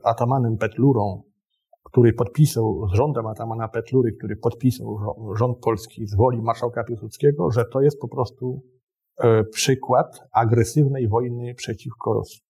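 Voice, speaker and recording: A male historian explains in formal, halting sentences, often correcting himself. His voice is mid-pitched, soft and dark, with a husky edge. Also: steady tone. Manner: hesitant, formal phrasing with self-corrections. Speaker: historian guest. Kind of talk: explanatory interview talk.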